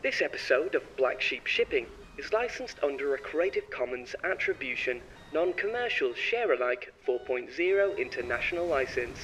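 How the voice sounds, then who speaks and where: A man's voice: medium pitch, sing-song, moderate pace, few pauses, a bit bright. A man working as an announcer, reading scripted credits in a narration style. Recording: slightly distant mic, faint noise.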